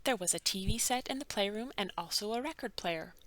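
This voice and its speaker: A woman narrating a children's bedtime story with animated, dramatic emphasis and drawn-out words. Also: mid-range voice. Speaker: children's book read-aloud narrator.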